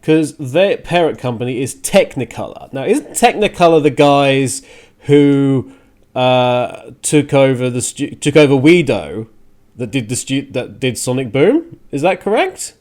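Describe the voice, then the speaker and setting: A man hosting a radio show, giving a casual, opinionated rant on the news in a halting way, restarting phrases and stressing points in exasperation. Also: mid-range voice, lively intonation, darkish tone.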